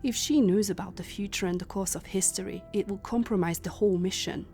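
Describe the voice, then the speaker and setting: A woman playing a composed, authoritative leader of a secret time-travel organization, speaking formally and with measured command. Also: medium pitch, steady tone, warm tone, soft background music, natural voice. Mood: stern, considering. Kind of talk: cinematic audio roleplay acting.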